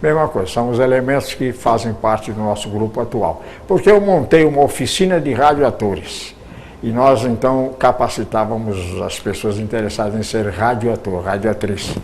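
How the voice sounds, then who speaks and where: A man's voice: medium pitch, normal speed, darkish tone, a little hiss or hum. An older man, recalling his years in radio drama in an interview, often stumbling and repeating words. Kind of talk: reminiscing interview answers.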